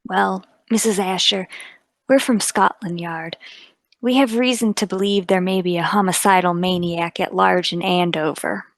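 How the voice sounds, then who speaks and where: A woman voicing a brisk, calm, businesslike police inspector in a radio drama. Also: lowish voice, dry recording, pitched below the performer's own voice, lightly acted. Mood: calm, official. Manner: businesslike, clipped.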